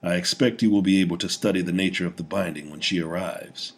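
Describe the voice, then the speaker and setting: Stoic warrior king for a fantasy audiobook: low, husky, commanding and cold, read by a male narrator. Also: steady tone. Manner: commanding, measured, grave. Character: mighty conqueror king, solemn, stoic and cold.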